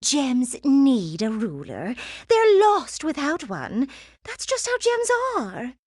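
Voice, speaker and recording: Cartoon villain queen, high-pitched, swooping, unhurried, theatrical, condescending, vain. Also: wildly swooping pitch, darkish tone, breathy edge, no echo, studio quality, heavily acted. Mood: gloating, gushing. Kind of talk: animated game voice acting.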